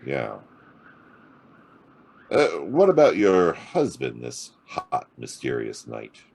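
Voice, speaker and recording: An actor playing a hard-boiled, wisecracking private detective in a noir radio drama, with a gravelly, husky voice and a sardonic drawl. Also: male voice, a bass voice, huge pitch swings, slow pace, darkish tone, a little hiss or hum, exaggerated voice. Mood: probing, wry. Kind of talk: noir radio drama acting and first-person narration.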